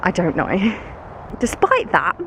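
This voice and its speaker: A woman's voice: lowish voice, wildly swooping pitch, slow, a bit bright. Bunged-up British woman chatting outdoors. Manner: chatty, self-mocking, laughs often. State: congested, bunged-up nose. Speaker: vlogger and schoolteacher. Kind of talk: casual vlog chat while walking. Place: woods outdoors. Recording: noisy outdoor camera recording. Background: outdoor ambience, passing vehicles.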